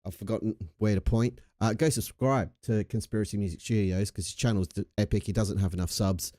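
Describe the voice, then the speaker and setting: A man's voice: lowish voice, fairly even intonation, brisk, dark tone, no room sound, clean studio sound. An Australian man hosting a casual livestream, laid-back, jokey and sweary, getting over a chest infection. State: recovering from a chest infection with a lingering cough. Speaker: livestream host.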